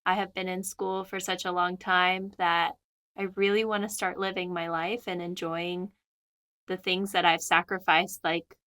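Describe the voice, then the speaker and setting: A woman's voice: lowish voice, flat delivery, a little slow, sharp tone. A woman in her twenties from California, a guest on a podcast, chatting casually with lots of fillers and giggles. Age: 20s. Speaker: guest, new veterinarian.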